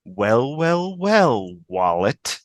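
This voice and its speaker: A man's voice: medium pitch, very animated, extremely slow, dry recording, clean studio sound. A man in an amateur table read over a video call, playing a brash, selfish, sarcastic woman with snarky emphasis. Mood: smug.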